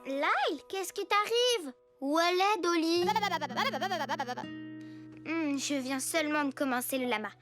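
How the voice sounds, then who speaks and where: Eager, very high-voiced little heroine. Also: female voice, unhurried, pauses often, music in the background. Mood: concerned, heroic. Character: eager young superhero girl who loves animals. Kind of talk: children's cartoon dub.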